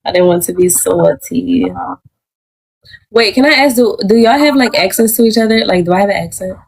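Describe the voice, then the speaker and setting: A woman's voice: mid-range voice, normal speed, husky, poor audio. A woman with a mid-range voice chats on a livestream. Her talk is loose and colloquial, like casual conversation among friends. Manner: chatty, colloquial. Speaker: livestream co-host. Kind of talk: casual chat on a group livestream.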